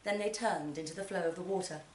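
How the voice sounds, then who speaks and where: Female actor for literary storytelling, expressive with deliberate pauses, reverberant room and faint noise. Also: on the low side. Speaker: actor reading a short story. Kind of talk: dramatic literary reading to a live audience. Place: performance space in a library centre. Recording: roomy, distant mic, background noise.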